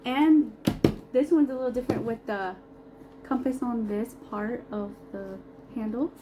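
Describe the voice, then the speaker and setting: A woman presents a casual hands-on unboxing in a fairly high voice. She takes her time between phrases, reviewing items as she goes. The home recording carries clear background noise. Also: a little slow. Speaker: product reviewer. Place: upstairs room in a brick house.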